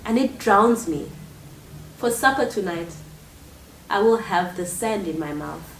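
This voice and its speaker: A woman's voice: medium pitch, lively intonation, a little slow. An actress playing a proud, angry, impoverished woman who refuses pity, in an intense theatrical monologue. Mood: bitter, proud. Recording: echoey room with faint noise.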